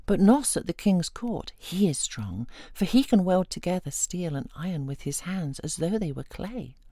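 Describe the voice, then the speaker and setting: A woman's voice: on the low side, very animated, moderate pace, dark tone, breathy edge, no echo, clean studio sound, exaggerated voice. A woman voicing a modest, admiring strong man in a fairy tale, speaking emphatically.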